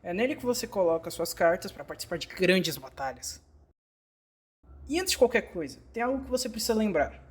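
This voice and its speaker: A woman's voice: fairly low pitch, lively intonation, fairly quick, breathy edge. A woman with a Brazilian accent reads scripted instructional narration, explaining things step by step as in a fan-dubbed tutorial.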